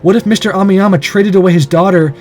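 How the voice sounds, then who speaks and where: A man's voice: high-pitched, steady tone, fast, darkish tone, soft background music, natural voice. Man reading as earnest, determined young game hero. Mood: worried. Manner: earnest, dramatic inner monologue. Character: determined, anxious young male visual novel hero.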